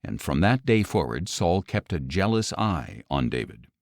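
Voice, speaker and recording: Male scripture narrator, lowish voice, very lively intonation, measured storytelling, dry close studio sound. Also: no room sound. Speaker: audiobook narrator.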